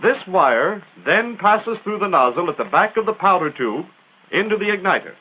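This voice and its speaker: A man's voice: high-pitched, very animated, moderate pace, slightly rough. An American man narrating a 1940s military training film in a punchy, emphatic, instructional manner, on a tinny old soundtrack.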